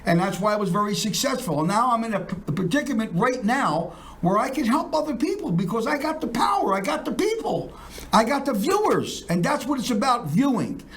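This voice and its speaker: Rambling high-voiced showman host. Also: sing-song, fairly quick, fairly bright tone. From South Philadelphia. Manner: boastful, rambling, jokey showman. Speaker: talk show host. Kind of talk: casual promotional chat.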